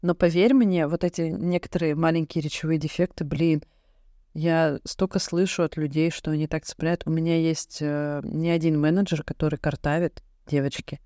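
A woman co-hosts a casual podcast in an expressive, giggly way. She talks as if to a friend, illustrating her points with examples. The sound is dry and close to the microphone. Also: deep voice, moderate pace, no echo. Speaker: podcast co-host. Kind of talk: casual chat between friends.

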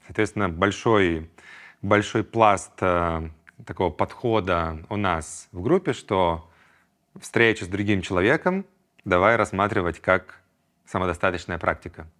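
A man's voice: deep voice, a little slow, a bit bright. A man in his thirties hosting a solo podcast, talking reflectively and acting out his inner voices in quoted asides. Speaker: podcast host.

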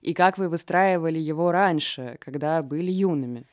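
A woman's voice: low-pitched, moderate pace, dry recording, clean studio sound. A woman hosting a casual podcast interview, asking questions in a playful, jokey way. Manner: playful, jokey, laughs easily. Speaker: podcast host.

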